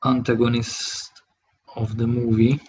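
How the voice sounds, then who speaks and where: A man from Hungary, speaking English with a Hungarian accent, talks through a live drawing tutorial, thinking aloud in a halting way as he works. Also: medium pitch, flat delivery, a little mellow, breathy edge, rough recording. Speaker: digital artist streaming his work.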